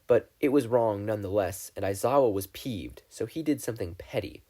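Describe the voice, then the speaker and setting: A man reading a story aloud as a narrator, in an expressive scripted style. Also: lowish voice, fairly quick. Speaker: fan fiction podfic reader.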